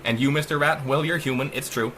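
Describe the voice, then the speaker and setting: A man's voice: mid-range voice, steady tone, fast talker, bright, forceful tone, a little hiss or hum, lightly acted. A man voicing a sadistic, taunting female AI who thinks herself a goddess, in a theatrical horror audiobook reading. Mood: gloating. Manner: mocking, theatrical.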